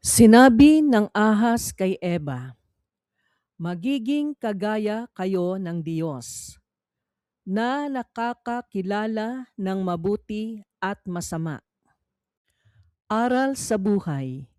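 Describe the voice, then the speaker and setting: A woman reading a scripted Bible quiz in a measured, formal, instructional manner. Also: mid-range voice, animated, warm tone, dry recording. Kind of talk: scripted read-along narration.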